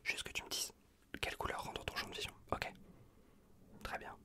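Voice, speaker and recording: A man performs an ASMR examination roleplay entirely in a breathy whisper. He gives casual, colloquial instructions and soothes with gently repeated words. The voice sits close to the microphone, airy and unvoiced. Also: a little fast. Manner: casual, colloquial, reassuring instructions with soft repetition. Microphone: close USB condenser microphone.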